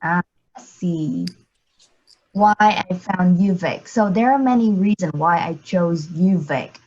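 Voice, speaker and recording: A young woman from Vietnam introduces herself as a student in a modest, casual way. She speaks slowly in a slightly low voice. The audio sounds a little muffled, as over an online call. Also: darkish tone, breathy edge. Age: young adult. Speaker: computer science student.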